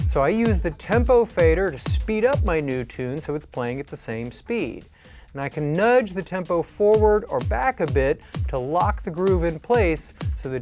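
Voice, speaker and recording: A man calmly explaining step-by-step techniques in a tutorial, as an instructor. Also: a little high. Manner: calm, explanatory. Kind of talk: tutorial narration. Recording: faint music behind.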